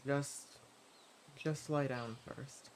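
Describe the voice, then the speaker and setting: A mild, level-headed male adventurer, acted by a man in an audio roleplay, with a mid-pitched voice. He speaks gently and haltingly, straight to the listener, over faint forest ambience. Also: steady tone, slight background noise. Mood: worried.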